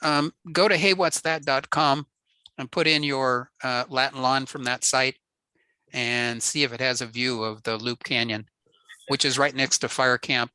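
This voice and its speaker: A man presenting ham radio networking on a video call, relaxed and answering questions with frequent fillers. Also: mid-range voice, a little slow, clean tone, no echo, poor audio. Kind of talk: casual technical talk on a video call.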